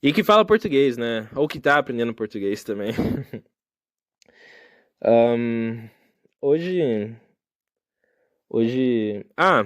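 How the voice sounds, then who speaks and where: A man's voice: medium pitch, no echo. Young man, solo podcast host, rambling reflective chat, phone mic, dry sound. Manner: rambling, casual and reflective with many fillers.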